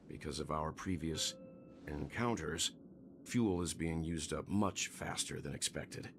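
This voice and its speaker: An actor playing a calm, wise, middle-aged mentor who explains things in a measured, composed way, over game music. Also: male voice, a bass voice.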